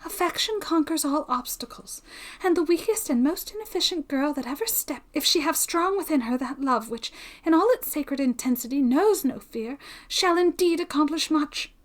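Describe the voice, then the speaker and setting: A woman reading a storybook voices an impassioned, gentle young woman with breathy, anxious, formal period speech. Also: sits high, expressive, normal speed, pitched above the performer's own voice.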